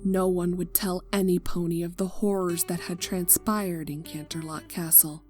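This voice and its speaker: Somber woman narrating over soft guitar. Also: fairly low pitch, flat delivery. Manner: somber, slow, dropping to near-whispers. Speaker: fanfic reader. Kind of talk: scripted narration of a tragic story. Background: soft guitar music.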